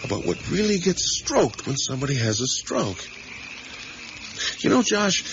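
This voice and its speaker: An actor playing an eager middle-aged academic father who rambles and reflects emphatically, in a naturalistic radio drama style. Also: fairly high pitch. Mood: earnest, challenging. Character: musing, eager academic father on a motorcycle trip with his son. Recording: dull, noisy 1980s radio recording.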